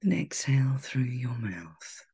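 A woman guiding a meditation in a soft, breathy, soothing voice, gentle and measured with long pauses. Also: very deep voice, very animated. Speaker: meditation guide. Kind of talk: guided meditation, calm and soothing.

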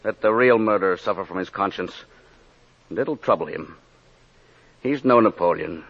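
An actor playing a calm, patient, cunning police inspector in an old-time radio drama, measured and knowing. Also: male voice, deep voice, creaky. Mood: confident, menacing. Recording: muffled old radio recording.

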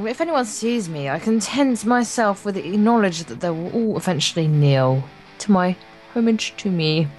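Girl co-hosting a visual novel playthrough, mid voice, playful and casual, faint music. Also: mid-range voice. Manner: playful, sweary, stumbles when reading. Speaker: let's play co-host. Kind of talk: reading visual novel text aloud with casual banter.

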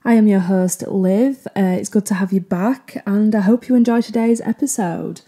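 Young woman host, very warm dark tone, soft friendly podcast intro. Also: mid-range voice, moderate pace. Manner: gentle, upbeat introduction with soft fillers. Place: living room with sofa.

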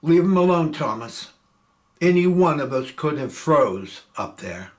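A man's voice: a little high, very animated, measured pace. A man reading a play aloud, voicing a tough male airman who stands up for a friend. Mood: defiant.

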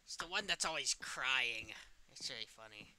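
A man's voice: mid-range voice, animated, normal speed, sharp tone, smooth, low-quality recording. A man role-playing a smug, teasing evil puppet killer in playful, mock-innocent banter over a game. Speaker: role-play gamer.

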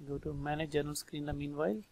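A man with an Indian accent and a slightly high-pitched voice works through a software lesson step by step. He thinks aloud in short, informal phrases. Faint noise and clicking sit behind the voice. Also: level tone, fast talker, creaky. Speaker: software trainer. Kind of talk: step-by-step screen tutorial narration. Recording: background noise with mouse clicks.

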